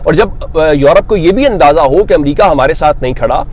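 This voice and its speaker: A man's voice: a little high, animated, darkish tone. A man delivering unscripted news commentary as a host, explaining step by step and posing questions that he then answers himself. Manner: explanatory, reads English quotes slowly then paraphrases them; poses rhetorical questions and answers them.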